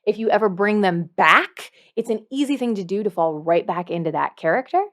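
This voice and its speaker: A woman hosts a scripted how-to video in a mid-pitched voice. She talks straight to the viewer as a dungeon master, leaning on key words playfully and slipping in dry asides. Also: lively intonation, fairly quick, a bit bright. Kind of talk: scripted tutorial to camera.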